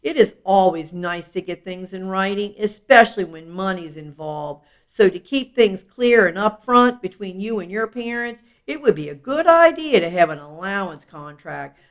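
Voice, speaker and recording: A woman's voice: medium pitch, animated, unhurried, hardly any pauses. A woman reading a children's self-help book aloud in an instructive, explanatory way, with casual asides.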